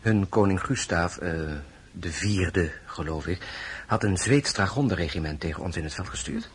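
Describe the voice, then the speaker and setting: Casting: earnest soldier-prince, low voice with wide swings, affectionate, musing, radio drama, slightly noisy recording. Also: male voice, lively intonation, normal speed, slightly rough, exaggerated voice. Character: Bernadotte, warm soldier-prince, doting husband, earnest and ambitious.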